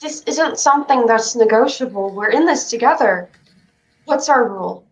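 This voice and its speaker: A woman's voice: fairly high pitch, lively intonation, moderate pace, close to an everyday voice, low-quality recording. A woman playing an anxious, insistent young girl in a staged play reading, earnest and protective. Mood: firm, reassuring. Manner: earnest, flustered, pressing.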